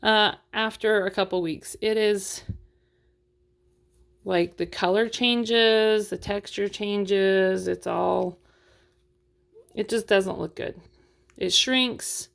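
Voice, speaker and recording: A woman's voice: medium pitch, fairly even intonation, slow, gravelly, long pauses. A woman chatting casually through a doll unboxing review, frank and full of fillers, while losing her voice.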